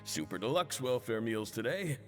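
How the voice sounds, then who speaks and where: A man's voice: mid-range voice, big pitch swings, moderate pace, long reverb tail, faint music behind, close to an everyday voice. An actor playing a jovial, polite male cafeteria cook in a video game, eager to please. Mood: welcoming. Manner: polite, jovial service manner.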